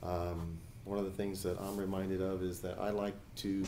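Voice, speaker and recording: A man in his forties from New Jersey, answering questions as an attorney and judicial candidate in an editorial board interview, speaking in a measured way with frequent hesitations. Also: low-pitched, flat delivery, warm tone, echoey room, slight background noise. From New Jersey, USA. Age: 40s.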